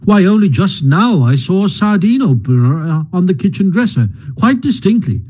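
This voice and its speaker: A man voicing a cheery, bustling male water rat in a storybook reading, brisk and jolly. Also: high voice, very animated, darkish tone, husky, pitched above the performer's own voice, heavily acted. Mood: reproachful.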